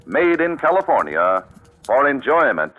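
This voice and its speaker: A man's voice: mid-range voice, sing-song, measured pace. An American man announcing a 1940s radio commercial with emphatic, polished salesmanship on a lo-fi vintage recording.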